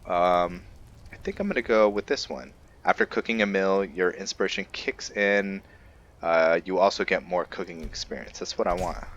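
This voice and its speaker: A man's voice: sits low, moderate pace, lo-fi sound. A man doing casual let's-play roleplay commentary, musing to himself a little hesitantly over game ambience. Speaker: gaming video host and player.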